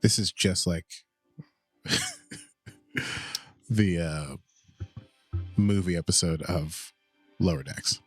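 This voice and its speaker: A man's voice: low-pitched, takes it slowly, pauses often, husky, faint music behind. A man co-hosting a podcast, giving playful, goofy watchalong commentary and laughing often. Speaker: podcast co-host.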